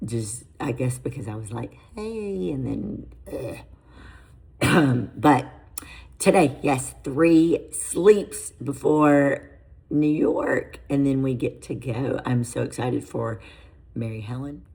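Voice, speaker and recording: A woman in her fifties with a raspy voice, a fitness coach chatting casually to camera in a home vlog, self-deprecating and loose. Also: a bass voice, slow, warm tone. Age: 50s. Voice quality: raspy, gravelly. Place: home garage on a treadmill.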